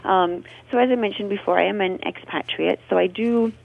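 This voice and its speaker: A woman's voice: medium pitch, a little fast, vocal fry. A woman, a guest in a radio interview, telling her story in a chatty, expansive way. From Australia. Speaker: radio guest, food blogger. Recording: tinny phone line.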